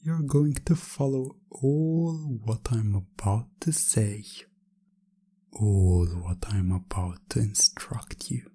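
A man narrating hypnosis ASMR in a breathy, half-whispered voice, with a repetitive, commanding, hypnotic manner. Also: lowish voice, slow pace, dark tone. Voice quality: breathy, often dropping to a whisper.

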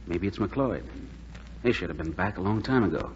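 An actor playing an earnest American wartime radio operator, plain-spoken, in 1940s radio drama style. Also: male voice, low-pitched, expressive, moderate pace. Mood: subdued. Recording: muffled, noisy, echoey 1940s radio recording.

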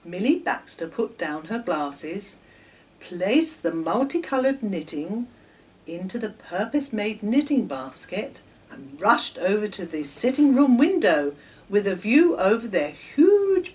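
An English woman in her sixties tells children's stories in a lively, swooping voice. She takes her time and leans on words theatrically. The microphone sits some way off in a kitchen. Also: medium pitch. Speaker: children's storyteller. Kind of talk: expressive read-aloud storytelling for children. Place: home kitchen, indoors. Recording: distant microphone in a room.

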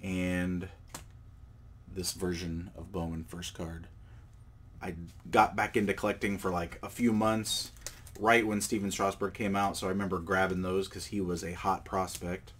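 A man's voice: low voice, a little slow, a little hiss or hum. Man with a cold, chatting casually. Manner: relaxed, rambling, with fillers and self-corrections. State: has a cold. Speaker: card collecting channel host. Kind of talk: casual show-and-tell vlog. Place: indoors at a table.

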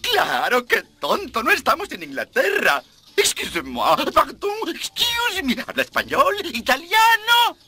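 An actor playing an eccentric elderly botanist professor in a cartoon, pompous, fussy and full of exclamations. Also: male voice, extremely high pitch, huge pitch swings, measured pace, pushed and bright, heavily acted. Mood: polite, befuddled. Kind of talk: animated cartoon dub acting.

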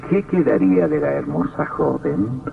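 An actor playing a sinister, darkly gloating count who narrates an old radio horror drama in a theatrical, macabre manner. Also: male voice, medium pitch, big pitch swings, slow, creaky, exaggerated voice. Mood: macabre, brooding. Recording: tinny, distant old radio recording.

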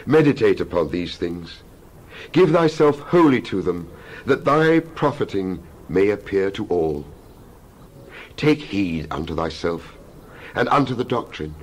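A man reading scripture aloud in a dramatized style, solemn and declamatory, in measured phrases. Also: low-pitched, expressive, rough recording. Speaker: Bible reader.

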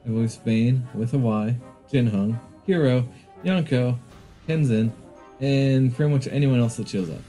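A man's voice: medium pitch, a little slow, warm tone. A man introducing himself as game master in a podcast cast introduction. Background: music.

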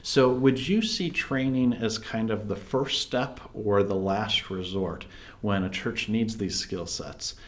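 A man's voice: lowish voice, slow. A man in his thirties hosting a video interview, asking questions in a friendly, easy way, heard through an earbud headset on a video call. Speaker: interview host.

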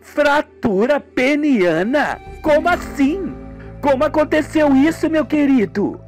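A man voicing a concerned young woman in a radio soap, with drawn-out, expressive exclamations. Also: very high-pitched, soft background music, voice pushed up, full character voice. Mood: surprised, concerned.

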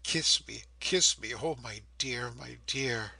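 A tender young daughter voiced by a male narrator pleads solemnly in a forward, slightly husky voice. She is gentle and devoted, repeating her entreaties like a heroine in a classic novel read aloud. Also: mid-range voice, slow, heavily acted. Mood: tender pleading. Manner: imploring, repetitive, solemn.

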